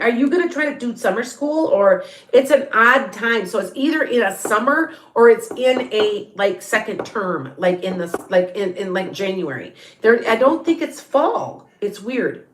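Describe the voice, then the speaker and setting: A woman's voice: fairly high pitch, expressive, moderate pace, echoey room. A middle-aged woman with a Northern Illinois Chicago-area accent chats casually as a tarot reader answering viewer questions, rambling and restarting, often laughing. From Beloit, Wisconsin area, Midwest US. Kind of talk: casual livestream chat.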